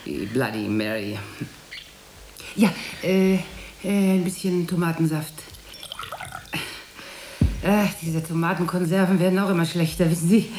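A woman's voice: lowish voice, measured pace, long pauses, darkish tone, reverberant, slight background noise, natural voice. A woman playing a middle-aged, worldly artist's wife in a radio drama, chatty, affected and patronising. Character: condescending, worldly artist's wife, secure and slyly needling. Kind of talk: German radio play acting.

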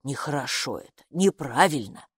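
Motherly underground gatekeeper creature, played by a woman: lower-toned, fretful, doting, full of worried lament. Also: animated, moderate pace, breathy edge, no room sound, clean studio sound, exaggerated voice. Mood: alarmed, puzzled. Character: anxious, motherly green-skinned female underground gatekeeper creature. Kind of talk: children's audiobook character voice.

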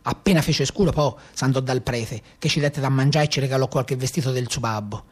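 An actor playing a rugged escaped brigand who tells his own story in a Tuscan accent, in a brisk, matter-of-fact theatrical style. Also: medium pitch, slightly rough, natural voice. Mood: brisk, gritty recounting. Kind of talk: first-person theatrical storytelling.

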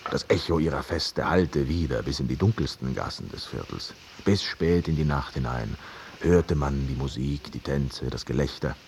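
A man's voice: rumbling low, normal speed, a little mellow, slight background noise. An actor in a radio drama playing a talkative, curious and teasing man who tells stories in a theatrical, ironic way. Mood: storytelling. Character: talkative, curious, teasing male host telling an old tale. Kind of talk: German radio drama, dialogue and storytelling.

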